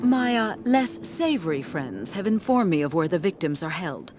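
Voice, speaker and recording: An actress playing an earnest, righteous townswoman who gives quests in a fantasy video game, speaking formally and gravely. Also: lowish voice, big pitch swings, normal speed. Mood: disdainful, informative. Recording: loud music under game dialogue.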